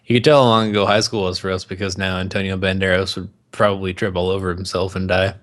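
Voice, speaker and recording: A man's voice: deep voice, level tone, fast. A man co-hosting a podcast in casual banter, joking and ranting with plenty of swearing. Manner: profane, jokey ranting. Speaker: podcast co-host.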